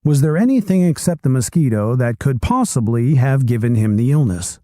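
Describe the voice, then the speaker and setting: A man reading a nonfiction book aloud in calm, measured storytelling narration. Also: mid-range voice, no echo, clean studio sound.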